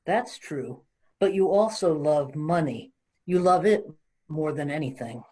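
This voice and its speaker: A woman's voice: low voice, a little slow, warm tone. Sorrowful young sweetheart played by a woman. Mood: sad, reproachful. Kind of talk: amateur table read.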